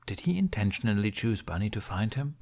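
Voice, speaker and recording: A man narrates a piece of fiction from a script. His voice is on the low side, carrying the prose as a storyteller would. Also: big pitch swings, fairly quick, a little mellow. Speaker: podfic narrator.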